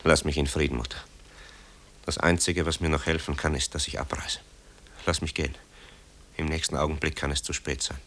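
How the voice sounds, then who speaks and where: Radio drama son: extremely low register, haunted, grave, halting confessions. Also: male voice, fairly even intonation, fairly bright tone. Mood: agitated, pleading. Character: tormented adult son, composer haunted by wartime guilt. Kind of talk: German radio drama acting.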